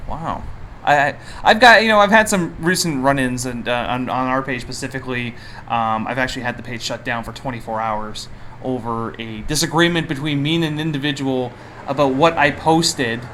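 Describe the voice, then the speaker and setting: A man from Canada hosting a casual interview chat, reacting in an animated way. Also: medium pitch, moderate pace. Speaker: podcast host. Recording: clear background noise, room ambience.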